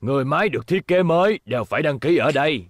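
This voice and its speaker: A man's voice: fairly high pitch, animated, quick pace, slight background noise, close to an everyday voice. A man dubbing an elderly, authoritative and exasperated professor in a cartoon, speaking emphatically. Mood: thoughtful, explaining. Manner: stern, emphatic, explanatory.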